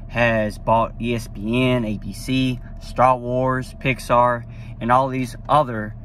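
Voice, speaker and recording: A man's voice: mid-range voice, fairly bright tone, slight background noise. Young man chatting in a car. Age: young adult. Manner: rambling, enthusiastic, many fillers. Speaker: vlogger. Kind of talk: casual talk to camera. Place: parked car, indoors. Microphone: phone at arm's length.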